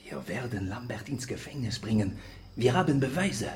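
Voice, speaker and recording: Actor as animated, urgent male reporter, echoey. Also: medium pitch, normal speed, far from the mic, close to an everyday voice. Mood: determined. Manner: urgent, commanding. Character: driven, excitable German male reporter chasing stolen treasure. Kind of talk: radio drama acting. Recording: noisy, echoey, off the microphone.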